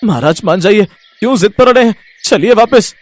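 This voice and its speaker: A man's voice: sits high, expressive, fairly quick, pitched above the performer's own voice. A man voicing a timid, deferential celestial clerk who pleads with his master in a moral story. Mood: nervous, pleading. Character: timid, reluctant male celestial clerk serving death's god. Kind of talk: animated Hindi moral-story voice acting.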